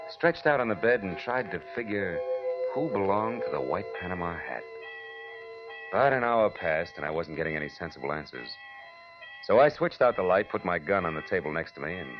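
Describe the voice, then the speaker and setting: An actor playing a wry, wisecracking hardboiled detective in a 1940s radio drama. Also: male voice, low-pitched. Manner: wry, clipped, sardonic. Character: wisecracking hardboiled private detective narrating his own case. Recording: dull old radio recording, loud music behind.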